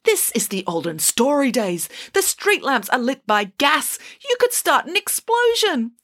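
A woman's voice: medium pitch, big pitch swings, a little fast, fairly bright tone. A woman voicing a bossy, indignant, ladylike female pig who scolds emphatically in a children's storybook. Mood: scolding.

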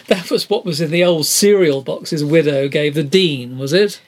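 A man's voice: a little high, unhurried. An older man interviewing in a scripted comedy dialogue, with a prim, pedantic manner.

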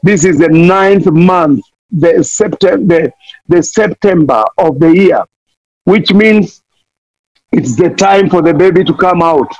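A man's voice: on the high side, slow, a little mellow, no room sound. A man from Kenya, preaching fervently as a missionary guest, pleading again and again and playing on words. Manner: impassioned, repeats pleas, wordplay. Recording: dull lo-fi dry sound.

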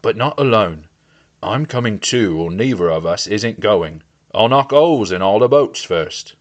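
A man's voice: on the low side, animated, unhurried. A man voicing a devoted, stubborn young servant with eager, anxious, folksy speech in a storybook fantasy reading. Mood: determined. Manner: rustic, folksy phrasing. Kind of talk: audiobook-style fantasy storytelling.

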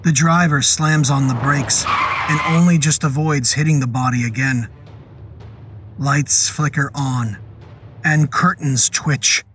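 A man narrating a scripted horror story dramatically, with suspenseful and deliberate phrasing. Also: mid-range voice, steady tone, over music.

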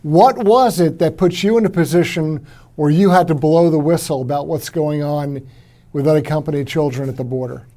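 Mellow-voiced male podcast host. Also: a little high. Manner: halting, repeats words and fills with uh. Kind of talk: interview podcast.

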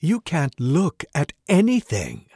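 A man voicing a laid-back female elf druid who speaks in a flat, droll drone. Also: fairly high pitch, takes it slowly, creaky, breathy edge, dry recording, studio quality, voice pushed up, full character voice. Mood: deadpan. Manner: droning, deadpan.

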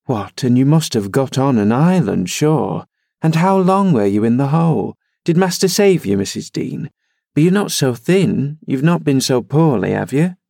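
A man's voice: mid-range voice, lively intonation, normal speed, vocal fry, warm tone, dry recording, clean studio sound, voice pushed up. A man voicing a gossipy older female servant who talks in rustic dialect. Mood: chatty. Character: chatty older female servant, country-spoken.

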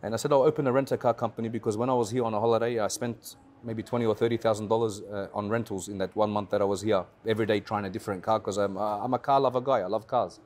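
A man, a car rental business owner, telling his story as a guest in a casual interview, animated and repetitive, with slang. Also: fairly low pitch, racing, hardly any pauses. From Australia.

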